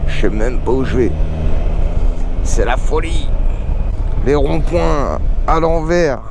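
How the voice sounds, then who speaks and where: A man's voice: mid-range voice, expressive, takes it slowly, vocal fry. Chatty young man riding through traffic. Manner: chatty, joking, thinking aloud while riding. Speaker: motorcycle vlogger. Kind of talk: casual vlog commentary. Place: on a motorbike in city traffic. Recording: road noise behind. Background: traffic and engine noise.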